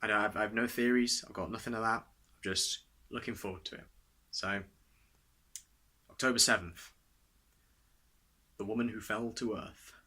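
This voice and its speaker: A man's voice: fairly low pitch, steady tone, moderate pace, long pauses, sharp tone. A young adult man chatting casually to camera in a vlog. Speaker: vlogger.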